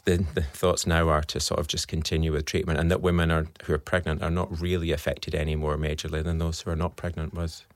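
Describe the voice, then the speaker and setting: A man with a Scottish accent, a fertility specialist answering interview questions in a measured, explanatory way with frequent ums. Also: rumbling low, flat delivery, no room sound, studio quality.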